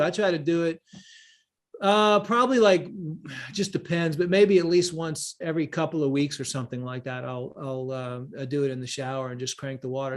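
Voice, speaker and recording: A man informally teaching about wellness on a live online call, rambling a little, repeating phrases and listing examples as he answers. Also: fairly high pitch. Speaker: wellness teacher and event leader.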